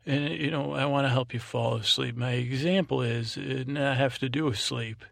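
A man hosting a bedtime podcast, talking in a meandering, halting monologue, often repeating himself and trailing off mid-word. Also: mid-range voice, steady tone, vocal fry, a bit bright, no echo. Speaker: podcast host. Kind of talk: rambling bedtime monologue to help listeners sleep.

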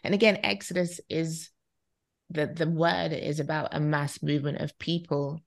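A woman's voice: low-pitched, fairly even intonation, moderate pace, vocal fry. A woman from England, a podcast guest, talking in a casual, thoughtful way with frequent restarts. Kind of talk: casual conversational interview.

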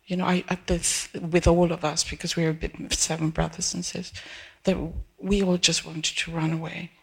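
A woman in her seventies from Peru, an audience member speaking haltingly at a live event, pausing and restarting as she searches for words. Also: lowish voice, level tone, gravelly, slightly rough. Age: 70s. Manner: hesitant, restarting, soft and searching. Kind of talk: halting personal testimony.

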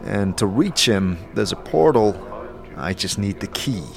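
A lowish, unhurried voice of a male actor as a wry wandering hero. He is blunt and offhand with hesitant pauses, lively in pitch, in a fantasy audio drama over faint music. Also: vocal fry. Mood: unsure.

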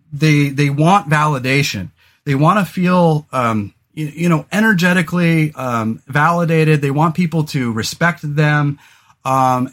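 A man's voice: fairly high pitch, a bit bright. A man in his forties hosting a podcast, talking casually and rambling with lots of fillers, restarts and casual swearing. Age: 40s. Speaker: podcast host.

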